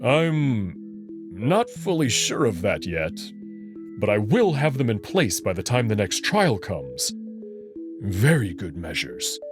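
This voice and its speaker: A man's voice: fairly low pitch, huge pitch swings, slow, heavily acted. A man voicing a confident, teasing and protective alien wolf noble in a visual novel, speaking in an assured, slightly formal way. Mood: earnest, reassuring. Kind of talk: visual novel character acting. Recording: music behind the voice.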